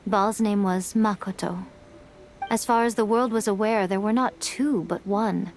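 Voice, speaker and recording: A woman's voice: mid-range voice, a little slow, a little hiss or hum, lightly acted. A woman voicing a sly, playful fox-spirit woman in a game, with a poised, teasing way of speaking. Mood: calm. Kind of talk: game dialogue.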